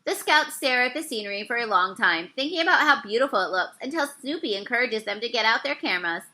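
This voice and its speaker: A woman reading a children's story aloud, with expressive and carefully phrased narration. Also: on the high side, quick pace. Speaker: storybook reader.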